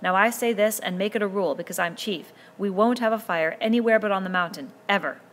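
A woman's voice: medium pitch, fast, a bit bright, slight background noise, natural voice. A woman reading a novel aloud, voicing an earnest, commanding boy chief who pleads and insists as he lays down rules. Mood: urgent.

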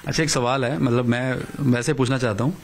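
A man's voice: mid-range voice, steady tone, a little fast. A man, asking questions conversationally as a sports reporter. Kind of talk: conversational on-location interview. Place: small living room at home, indoors. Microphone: handheld reporter microphone.

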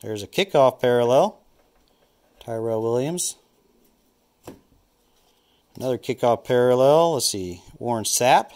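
A man's voice: medium pitch, expressive, a little slow, pauses often, darkish tone. A man opening packs of football trading cards, thinking aloud and naming each card as he flips through them. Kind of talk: casual unboxing commentary.

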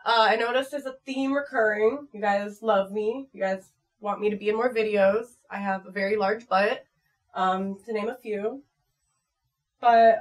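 American girl in her 20s, kinda high voice, chatty vlog style, jokey and unfiltered. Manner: playful, crude humor, frank. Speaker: channel performer reading viewer comments. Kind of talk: casual vlog chat. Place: small home room at computer desk.

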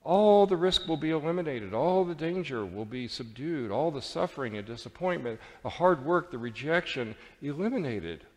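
A man's voice: on the high side, expressive, normal speed, hardly any pauses, a little mellow, pitched above the performer's own voice, natural voice. A man voicing a sly devil in a sermon, coaxing insistently and theatrically. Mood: grand persuasion. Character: sly, coaxing male devil tempting grandly. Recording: reverberant church hall.

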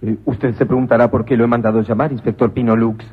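An actor playing a stern, ruthless police commissioner in a radio comedy drama, with a husky voice that flips into manic shouting. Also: male voice, medium pitch, fairly even intonation, quick pace, close to an everyday voice. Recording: muffled old radio broadcast.

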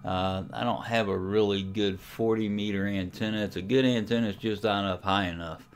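Man, lowish pitch, casual software walkthrough, unscripted, hesitant, thinking aloud. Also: steady tone, normal speed. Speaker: amateur radio enthusiast, tutorial host. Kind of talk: casual screen-recording software tutorial.

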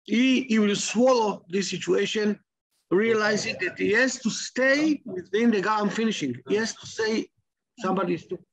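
A middle-aged man with an Israeli accent giving an online lecture in halting English, full of repeats and restarts, over a video call. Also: high voice, fairly bright tone, low-quality recording. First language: Hebrew. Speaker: guest lecturer.

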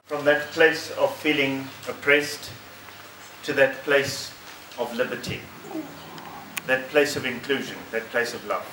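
A middle-aged man giving a conference presentation in an emphatic, measured, oratorical way with repeated phrasing. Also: medium pitch, fairly even intonation, bright, forceful tone. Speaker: clergyman presenting as committee member. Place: conference room, indoors. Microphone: handheld microphone. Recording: roomy, slightly distant, faint noise.

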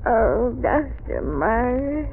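A woman's voice: a little high, big pitch swings, extremely slow, heavily acted. An actress playing a weak, tearful, dying ninety-five-year-old woman in a 1930s radio drama. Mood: feeble, tearful. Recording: tinny, hissy 1930s radio recording.

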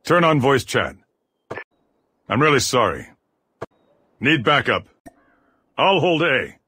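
An actor voicing a gruff, aggressive soldier giving terse, commanding squad callouts in a military video game, with a rough, husky voice. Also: male voice, mid-range voice, sing-song, slow pace, sharp tone, heavily acted. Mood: calm commanding. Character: gruff armored male soldier, aggressive squad mate in combat. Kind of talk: video game combat voice lines.

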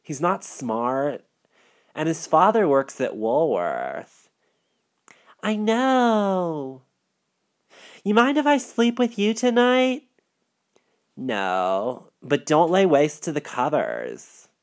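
A man voicing a weary, discontented young woman in audiobook dialogue, with a drawn-out, complaining manner. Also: fairly high pitch, wildly swooping pitch, pitched above the performer's own voice, heavily acted. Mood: tired, pleading.

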